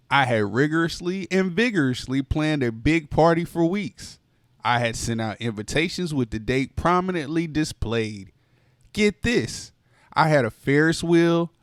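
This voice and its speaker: Male storytime reader, unhurried and animated, dry close recording. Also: medium pitch, no room sound. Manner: expressive, playful, drawn-out delivery. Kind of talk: children's book read-aloud.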